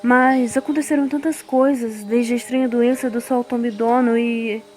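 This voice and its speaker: An actress playing a shy, apologetic maidservant of feudal Japan in an audio drama, stammering and deferential. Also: female voice, on the high side, steady tone, normal speed, darkish tone, close to an everyday voice, poor audio. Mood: flustered, apologetic. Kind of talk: scripted audio drama acting.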